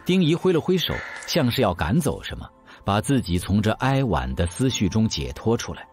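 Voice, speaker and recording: A man's voice: on the low side, big pitch swings, moderate pace, faint music behind. A man narrating an audio drama in a scripted storytelling style.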